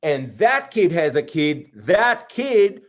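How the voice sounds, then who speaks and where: A man telling stories to children as a puppet show host, expressive, with simple repeated phrasing. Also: fairly high pitch, takes it slowly. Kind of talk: children's storytelling.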